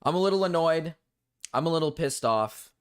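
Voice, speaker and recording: A slightly hoarse man ranting to his livestream chat, annoyed and repeating himself as he vents. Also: a little high, animated, moderate pace. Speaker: live streamer talking to chat. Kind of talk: casual livestream rant.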